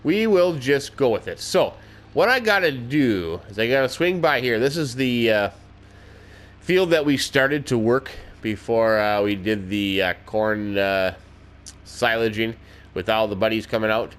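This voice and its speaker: A man's voice: medium pitch, expressive, measured pace. A man casually narrating his farming game as a let's play host, folksy and chatty with mild exclamations, a little stuffed up in the nose. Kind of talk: casual gameplay commentary.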